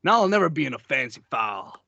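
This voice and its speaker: A man voicing a bitter, self-pitying, sarcastic piece of stained paper with a creaky voice. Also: medium pitch, very animated, fast talker, sharp tone, no echo, exaggerated voice. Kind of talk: amateur table read over a group call. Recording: dry, dull group-call audio.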